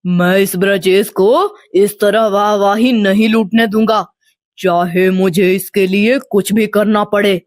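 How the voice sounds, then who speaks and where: A woman's voice: mid-range voice, fairly even intonation, slow, no echo, studio quality, voice pushed down, close to an everyday voice. A woman voicing a scheming, jealous village man with a sly, fawning manner in a storybook tale. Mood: jealous, plotting.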